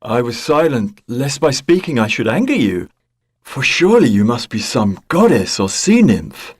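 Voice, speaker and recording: A man's voice: medium pitch, very animated, normal speed, husky, no room sound, clean studio sound. A man playing a gallant young sailor in an audio drama, speaking frankly in an earnest, formal way. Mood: respectful, cautious.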